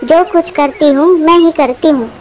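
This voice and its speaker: A woman's voice: high voice, normal speed, darkish tone, lightly acted. A woman voicing a poor widowed mother bird in a cartoon, humble and plaintive. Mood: pleading. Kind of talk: Hindi cartoon dialogue.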